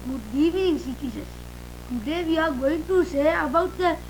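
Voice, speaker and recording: A woman reading an amateur emergency announcement in a halting, hesitant way. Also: sits high, animated, darkish tone, slight background noise, rough recording. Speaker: announcer in a fictional emergency broadcast. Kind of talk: amateur scripted public announcement.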